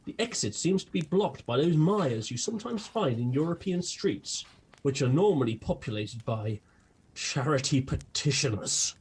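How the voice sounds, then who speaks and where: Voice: medium pitch, expressive, normal speed, darkish tone, a little hiss or hum, close to an everyday voice. A performer playing an eccentric, gluttonous, unhinged detective in a comic radio play, grandiloquent, with a British accent. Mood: breezy. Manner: grandiloquent, theatrical.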